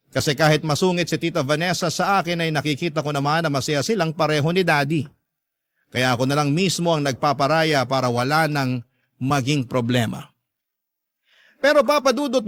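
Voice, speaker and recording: A man's voice: on the high side, a bit bright, no echo, lightly acted. A man playing an aggrieved young letter writer with a Filipino accent, narrating a story earnestly and emphatically. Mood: exasperated. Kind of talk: dramatic first-person story narration.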